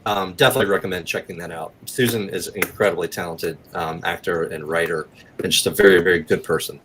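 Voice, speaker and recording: A man's voice: sits low, steady tone, quick pace, noisy background. A man talking as an actor guest in a casual podcast interview, with an informal, enthusiastic manner.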